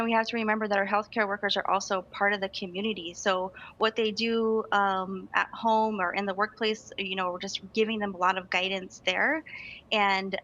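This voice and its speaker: A woman's voice: medium pitch, fairly bright tone, highs cut off. A woman working as a registered nurse, answering news interview questions earnestly with frequent ums and restarts.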